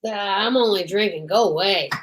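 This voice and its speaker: A woman's voice: mid-range voice, normal speed, natural voice. A woman playing a grumpy, bitter drinker in an amateur old-time radio drama, blunt and surly in manner. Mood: surly, groggy.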